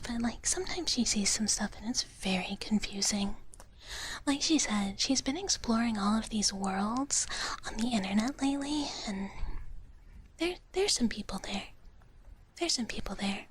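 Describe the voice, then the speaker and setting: A woman acts out a gentle, protective dragon big sister for live ASMR. Her voice is a breathy, fairly high whisper, close on the microphone. She rambles softly and hesitantly to viewers over clearly audible background noise. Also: animated, normal speed, lightly acted. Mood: curious, musing. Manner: hushed, refers to herself in third person, hesitant rambling.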